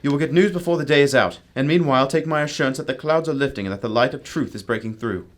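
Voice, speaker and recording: A man's voice: medium pitch, fast, lightly acted. A man playing a brilliant, commanding detective in a radio drama, explaining emphatically with old-fashioned courtesy. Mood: brisk, serious. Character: brilliant, commanding, self-critical male detective.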